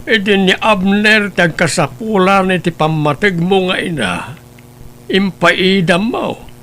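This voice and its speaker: Brief: man doing an older grandfather, high-ish voice dropped lower, stern lecturing tone, light hum. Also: lively intonation, slow pace, sharp tone, exaggerated voice. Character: elderly grandfather, gentle and scolding.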